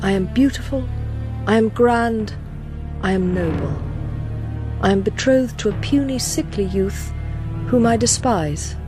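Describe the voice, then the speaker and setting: A woman's voice: mid-range voice, unhurried, pauses often, lightly acted. A woman playing a vain, scornful young noblewoman, declaiming grandly in a radio drama. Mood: haughty scorn. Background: scary music.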